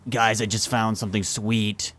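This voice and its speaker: A man's voice: lowish voice, little pitch movement, moderate pace, fairly bright tone. A man in casual chat with fellow players during a multiplayer game. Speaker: gamer in group roleplay.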